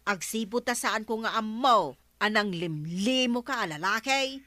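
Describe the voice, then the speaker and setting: A woman's voice: on the high side, unhurried, pushed and bright, no room sound. A woman playing an evasive, easily irritated village woman in an Ilocano comedy drama, hesitant and drawing out her words.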